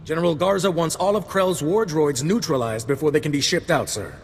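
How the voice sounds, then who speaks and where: Man doing earnest army briefing guy for a game, formal, highish voice, echoey hall. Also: brisk, slight background noise, natural voice. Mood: businesslike. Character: dutiful, earnest male military special forces operative. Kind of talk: video game cutscene acting.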